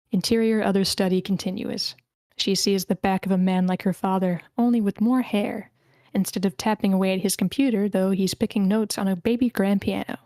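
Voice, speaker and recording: Female narrator, medium pitch, dry close sound, reading film scene descriptions. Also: warm tone, no echo. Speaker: stage-direction reader. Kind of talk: scripted narration at a screenplay table read.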